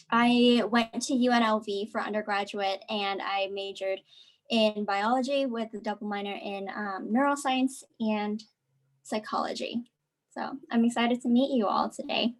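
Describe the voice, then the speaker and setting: A young woman born in Vietnam, a medical student, introducing herself in a friendly, unhurried way on a video call. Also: medium pitch.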